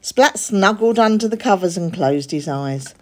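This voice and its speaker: A woman reading a children's story aloud in an expressive narrating style. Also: lowish voice, unhurried. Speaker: storybook reader.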